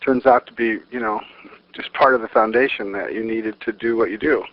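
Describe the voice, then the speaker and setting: A man's voice: fairly low pitch, steady tone, fairly quick, dry recording. A man interviewing someone conversationally, musing in fragments and reflecting their answers back to them. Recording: dull lo-fi interview audio.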